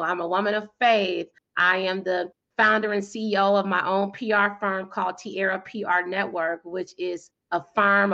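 A woman in her thirties or forties, guest in an interview, talking energetically and emphatically with a loud, powerful voice and frequent laughter. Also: mid-range voice, lo-fi sound. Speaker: guest, PR firm founder and speaker.

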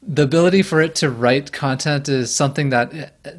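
A man speaking as a guest in a casual podcast interview over a video call, measured and thoughtful, with a fairly even voice. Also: on the high side. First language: Japanese alongside English.

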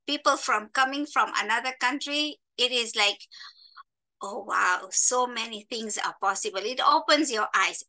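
A middle-aged woman from East Africa with a high, strikingly bright and forceful voice. She speaks as a podcast guest, telling stories and handing out advice in an emphatic, instructive way. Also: poor audio. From Kenya and Tanzania. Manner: emphatic, instructive, checks in with "right?". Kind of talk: conversational storytelling and advice.

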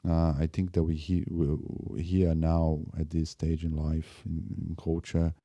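Low, dark-voiced man musing. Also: completely flat delivery, dry recording. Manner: halting, many restarts and fillers. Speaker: podcast host. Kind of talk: casual spoken music review.

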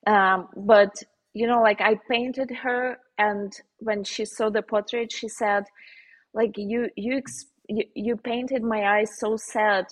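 A woman's voice: medium pitch, fairly even intonation. Slovenian-accented woman, chatty interview guest, lots of um and like, restarts mid-sentence. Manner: halting, many fillers and restarts, laughs often. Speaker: portrait painter, guest. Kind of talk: casual interview answers.

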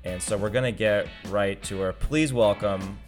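A young man hosts a podcast with a fairly low voice. He keeps the chat moving with quick, friendly questions. Faint music plays behind him. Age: young adult. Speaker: podcast host.

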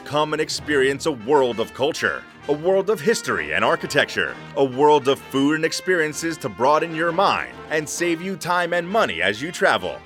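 A man's voice: fairly high pitch, very animated, moderate pace, hardly any pauses, a bit bright. A man gives a scripted show introduction in a dramatic announcer style. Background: music.

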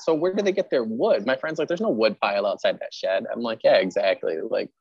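A man hosting a casual podcast interview, chatting in an animated way and telling little stories with quoted asides. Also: mid-range voice, quick pace, slightly rough, no room sound, muffled recording. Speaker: podcast host; teacher.

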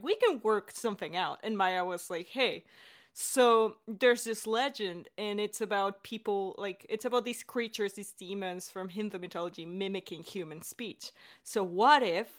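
A young woman hosting a casual podcast chat, talking in a bubbly, excitable and informal way. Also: mid-range voice. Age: young adult. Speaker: director and voice actor hosting a Q&A.

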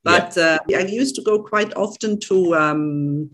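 A woman's voice: on the low side, a little slow, a bit bright. Chatty woman guest. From Switzerland. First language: German. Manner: chatty, restarts phrases, many fillers. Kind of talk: casual conversation.

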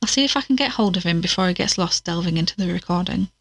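A woman's voice: lowish voice, expressive, fast, fairly bright tone. An actress playing a guarded, uneasy woman troubled by bad dreams in an audio drama, reticent and questioning.

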